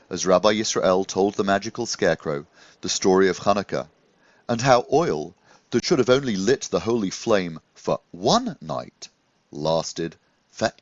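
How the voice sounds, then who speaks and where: A man with a low, very lively voice narrates a children's tale gently and expressively. He takes his time like a practised storybook reader. The sound is dry and slightly dull. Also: a little slow, no echo.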